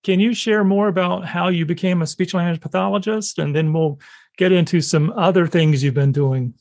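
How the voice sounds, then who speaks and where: Friendly podcast host, man, gravelly fry in the voice, dry close recording. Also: sits high, fairly even intonation, moderate pace, darkish tone, dry recording. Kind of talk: promo read and interviewing.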